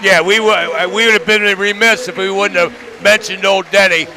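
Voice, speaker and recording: A man's voice: sits high, steady tone, normal speed, creaky, a crowd in the background. A man giving conversational co-commentary on a local sports broadcast from a gymnasium. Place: indoor high school gymnasium.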